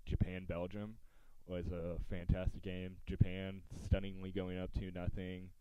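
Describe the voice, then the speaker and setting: A man with a low, mellow American voice hosts a casual sports podcast. He sounds close and dry, with a slightly dull recording that takes the edge off his words. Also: monotone, unhurried, clear, resonant tone, no room sound. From United States.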